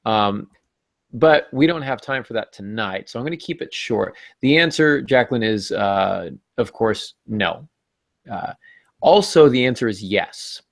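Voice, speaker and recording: A man's voice: on the low side, animated, a little slow, vocal fry, dry recording, studio quality. A middle-aged man hosting a podcast in a casual, joking, tongue-in-cheek way. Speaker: podcast host.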